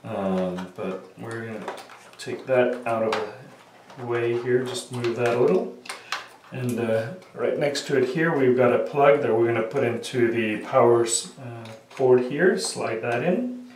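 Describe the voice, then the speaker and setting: Slow-talking man with a head cold. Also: mid-range voice. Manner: unhurried, many "uh" fillers, talking while working with hands. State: sniffly with a cold. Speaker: hobbyist repair tutorial maker. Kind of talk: casual step-by-step repair tutorial. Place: small room at a workbench, indoors. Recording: roomy, distant camera audio with faint noise.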